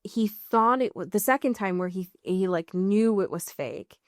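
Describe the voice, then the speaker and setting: A woman's voice: medium pitch, lively intonation, normal speed, no room sound, clean studio sound. A woman podcast co-host chatting casually as she recaps a show, acting out bits of dialogue and swearing freely.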